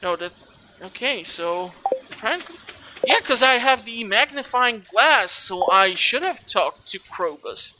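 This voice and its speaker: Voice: medium pitch, very animated, unhurried, noisy background. A person giving casual let's play commentary, chatty and rambling, with some mild swearing. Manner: chatty, rambling, mildly profane. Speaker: gaming video host. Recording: loud game music behind the voice. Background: video game music.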